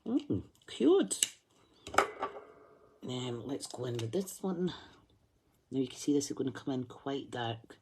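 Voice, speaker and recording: A woman's voice: rumbling low, very animated, takes it slowly, pauses often, warm tone, slight background noise. A woman hosting a craft tutorial, chatting and thinking aloud as she colours, humming to herself while she concentrates. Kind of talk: live colouring demonstration.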